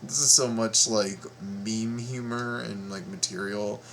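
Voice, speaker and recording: A man hosting a reaction video, commenting casually in a halting, stammering way and repeating his words. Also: lowish voice, steady tone, vocal fry, fairly bright tone, slight background noise, poor audio.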